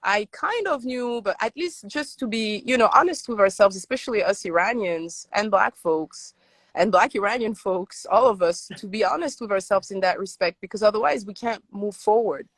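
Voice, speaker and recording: A woman's voice: mid-range voice, expressive, moderate pace, no room sound. Interview guest, woman from Iran, native Farsi speaker, earnest and emphatic, dry close sound. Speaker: interview guest, advocacy founder. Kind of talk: conversational interview.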